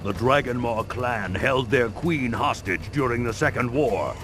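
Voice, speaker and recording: A man's voice: medium pitch, unhurried, a bit bright, faint music behind, natural voice. A man playing a grim, skeptical war veteran in a fantasy game cutscene. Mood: grim warning.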